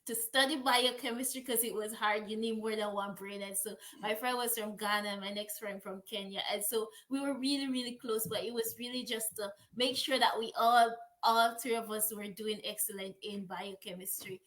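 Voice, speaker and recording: Woman, Jamaican accent, young adult, interview guest, chatty, higher voice. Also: steady tone, moderate pace, hardly any pauses, a bit bright. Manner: chatty, many "like" fillers, laughs while telling stories. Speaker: guest, author. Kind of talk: casual interview chat.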